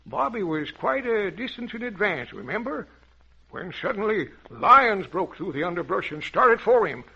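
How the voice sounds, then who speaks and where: An old-time radio storyteller, a jovial old adventurer. His voice is creaky and husky, swinging in lively folksy turns through a dull, lo-fi recording. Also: high voice, normal speed, exaggerated voice. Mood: folksy storytelling. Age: older. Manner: folksy, chuckling, rambling storyteller. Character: jovial old adventurer telling children tales.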